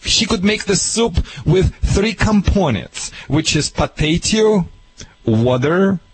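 A husky man with a fairly high voice banters on a radio show, playful and teasing. His jokes come out deadpan. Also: slow, low-quality recording. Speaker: radio co-host.

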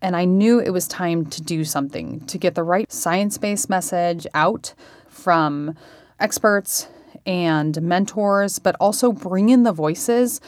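A woman author giving an earnest, measured introduction to her book. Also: lowish voice, a little mellow, no echo. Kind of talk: scripted introduction.

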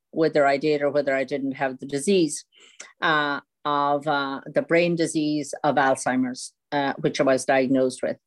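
Older Irish woman guest, very low, flat voice, halting speech with many fillers. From Ireland. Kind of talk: interview answer.

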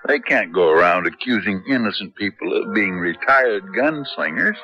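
An actor playing an indignant man in a radio Western, brisk and exasperated. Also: fairly low pitch, animated, pushed and bright. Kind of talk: 1970s radio drama acting. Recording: dull old radio recording, faint music.

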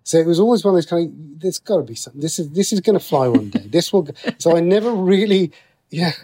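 A man's voice: on the high side, lively intonation, quick pace, dark tone, husky. A man chatting as an interview guest, thinking aloud and often rephrasing as he goes. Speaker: interview guest, TV and radio writer. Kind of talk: casual interview conversation.

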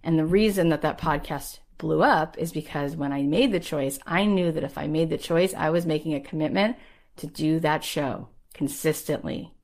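A woman's voice: low voice, normal speed, darkish tone. A woman in her thirties, a podcast host giving an emphatic, rallying motivational talk in a conversational way.

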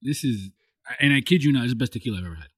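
A man's voice: medium pitch, very fast, no room sound, clean studio sound. A man from Los Angeles hosting a casual podcast, joking and restarting his sentences. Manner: animated, joking, many restarts. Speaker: podcast host. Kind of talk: casual chat.

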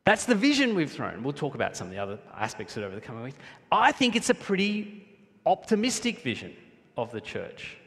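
A man's voice: medium pitch, wildly swooping pitch, a little fast. The man you would hear from the pulpit on a Sunday, a pastor from Sri Lanka rising and dipping expressively as his words ring around a big echoing church. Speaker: pastor preaching to his congregation. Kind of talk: sermon. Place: large church hall, speaking to a congregation. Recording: echoey hall with long reverb.

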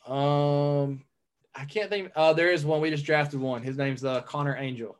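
A man's voice: a little high, fairly even intonation, measured pace. Laid-back young Southern guy. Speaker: podcast guest, pro pitcher. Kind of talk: casual podcast chat.

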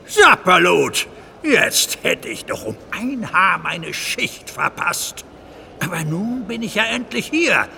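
Casting: proud old steam train for children's radio play, courtly, easily startled, creaky, swooping delivery. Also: male voice, high-pitched, big pitch swings, slow pace, full character voice. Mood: proud, blustering. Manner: old-fashioned courtly speech with exclamations. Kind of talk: children's audio drama acting.

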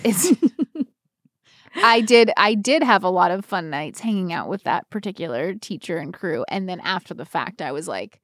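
A woman co-hosting a podcast in casual, comedic chat, telling stories in an animated way with dramatic asides and casual swearing. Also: lowish voice, measured pace, no room sound, studio quality. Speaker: podcast co-host.

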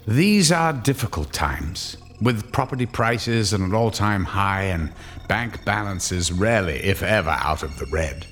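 A man's voice: deep voice, animated, a little slow, a bit bright, faint music behind, full character voice. An actor playing a sardonic male horror-story narrator, delivering scripted lines with wry, theatrical phrasing. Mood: wry, ominous.

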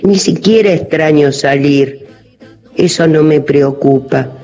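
A woman's voice: deep voice, slow, warm tone, soft background music. An older woman with grandchildren, speaking in short, sober, heartfelt phrases. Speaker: older caller. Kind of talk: recorded personal testimony. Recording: muffled phone recording.

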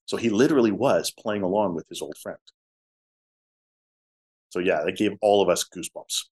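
A man with a low voice, a musician telling stories in a casual interview. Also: moderate pace. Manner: talkative storyteller, drops to soft tones. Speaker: musician guest.

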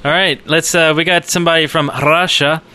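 Casting: male podcast co-host, fairly high pitch, crisp bright tone, casual chat. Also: steady tone, brisk.

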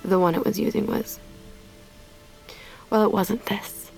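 Creaky-voiced, candid, weary woman. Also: medium pitch, fairly even intonation, a little slow, a little mellow, soft background music, poor audio. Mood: reflective. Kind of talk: naturalistic audio drama acting.

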